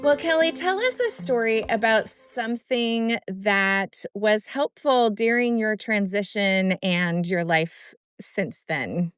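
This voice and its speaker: A woman's voice: mid-range voice, takes it slowly, hardly any pauses, smooth, dry recording, soft background music. A woman hosting a podcast interview, affirming her guest and reflecting on what she says. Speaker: podcast host. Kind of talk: conversational interview.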